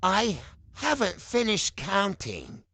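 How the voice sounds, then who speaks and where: A woman's voice: mid-range voice, huge pitch swings, slow pace, gravelly, a bit bright, breathy edge, full character voice. A woman voicing a scared, earnest young boy turtle who speaks hesitantly and with a wobble in a storybook. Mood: groggy, sighing.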